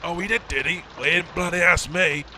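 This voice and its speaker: An actor playing a grumpy, drunk, aggressive brother in an amateur audio drama, sarcastic and confrontational. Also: male voice, sits high, fairly even intonation, a little fast, pushed and bright, a little hiss or hum. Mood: sarcastic, angry.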